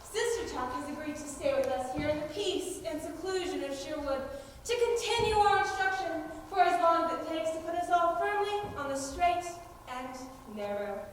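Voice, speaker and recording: An echoing hall, picked up from a distance, carries a cheeky lady outlaw chief acted by a young woman. The very high voice is grandly theatrical, all mock-earnest virtue in a stage comedy. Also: lively intonation, normal speed. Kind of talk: amateur stage comedy acting. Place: high school play on a stage. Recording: echoey hall from far off, faint noise.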